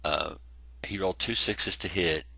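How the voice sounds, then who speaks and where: Man, congested, very low husky voice with a bright edge, rambling casual wargame recap. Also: measured pace, no echo. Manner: rambling, self-correcting, lots of fillers. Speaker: tabletop wargame player narrating a battle report. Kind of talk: casual battle report narration over photos.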